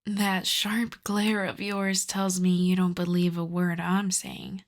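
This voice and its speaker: A woman voicing a seductive, possessive female vampire in an intimate ASMR roleplay, speaking softly and teasingly, often in a half-whisper. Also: on the low side, flat delivery. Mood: wistful, teasing. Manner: slow, soft, breathy half-whispered teasing delivery.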